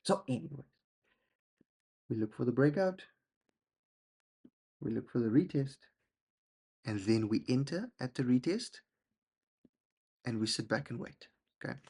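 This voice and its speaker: A young man, a husky voice and a rambling, self-deprecating manner, walking through trades and often checking in with "okay?". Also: medium pitch, expressive, unhurried, pauses often, a little mellow. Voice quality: gravelly, husky. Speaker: trading channel host. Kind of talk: casual screen-share trading walkthrough.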